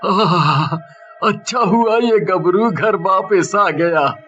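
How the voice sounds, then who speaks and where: Man acting a high-voiced, plaintive village husband. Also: drawn-out words, a bit bright, heavily acted. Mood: relieved, planning. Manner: plaintive, exclaiming, scolding. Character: worried, grumbling village camel owner, husband. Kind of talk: dramatized bedtime story. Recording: muffled lo-fi audio with music.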